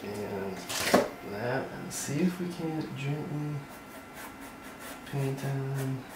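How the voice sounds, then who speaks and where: A man's voice: medium pitch, drawn-out words. A Canadian man from northwestern Ontario talking casually through a crafting tutorial as he paints a model, chatty and rambling. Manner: rambling, chatty, talks while working. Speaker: miniature crafting host. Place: indoor hobby workbench. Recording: roomy, distant mic with loud background noise.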